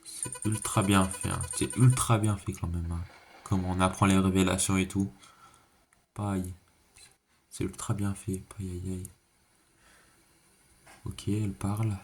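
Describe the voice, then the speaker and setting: A man's voice: deep voice, little pitch movement, unhurried, pauses often, soft background music. A man giving a casual, slangy reaction commentary, thinking aloud and repeating himself. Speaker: reaction video creator. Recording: clearly noisy background.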